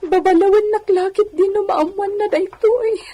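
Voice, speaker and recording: A high, soft-hearted young lady of a villa, played by a woman, speaks in a plaintive, sighing way. She is a gentle, mistreated figure from a radio drama. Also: fairly even intonation, moderate pace, slight background noise. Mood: hurt, tearful.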